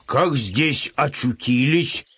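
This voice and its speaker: A man's voice: mid-range voice, takes it slowly, fairly bright tone, husky, dry recording, muffled recording, exaggerated voice. A man voicing a pompous, stubborn little bear cub in a storybook, speaking in a solemn, dry, self-important official manner. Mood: stern.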